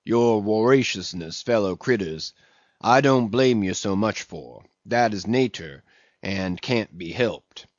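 A man voicing a sullen, limping old ship's cook who mumbles a slow sermon, on a dull lo-fi recording. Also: lowish voice, expressive, no room sound. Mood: preaching.

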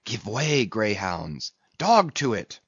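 A man plays a stern first mate on a whaling boat. He is indignant, intense and commanding, with strong swings of pitch, as in a dramatic audiobook. The sound is slightly dull. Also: sing-song, a little slow, dry recording.

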